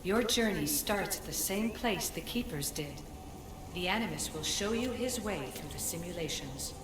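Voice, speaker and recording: Low, echoing ancient spirit woman, played by an actress. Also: lively intonation, lightly acted. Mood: calm, aloof. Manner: measured, formal, cryptic. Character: ancient female Isu spirit in a staff, solemn commanding guide. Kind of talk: scripted game cutscene acting. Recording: echoey hall reverb over music.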